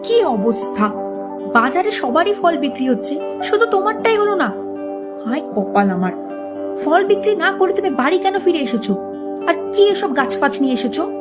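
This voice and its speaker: A woman playing the nagging, worried wife of a poor village farmer in a cartoon, expressive and quick to scold. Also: extremely high pitch. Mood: scolding, exasperated. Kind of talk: cartoon character dialogue. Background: loud music.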